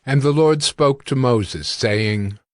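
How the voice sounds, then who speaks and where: Slow male narrator in long reverb. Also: mid-range voice. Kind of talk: scripted scripture narration.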